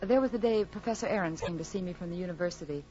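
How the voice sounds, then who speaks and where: An actress playing an earnest, emotional wife in a melodramatic radio drama. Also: female voice, on the low side, rapid-fire, mellow, muffled recording, close to an everyday voice. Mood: sad, reflective. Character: anxious, loving pregnant wife, later sad and reflective narrator. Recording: vintage radio drama with music behind.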